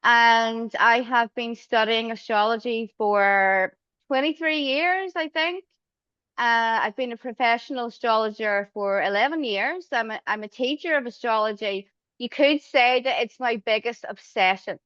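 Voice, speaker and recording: A woman answers interview questions casually, restarting phrases and dropping in fillers. Her voice sits a little high and sounds smooth and resonant. The audio is dry and dull, like a lo-fi remote call. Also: a little slow, a bit bright, no echo. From Northern Ireland. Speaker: astrologer guest.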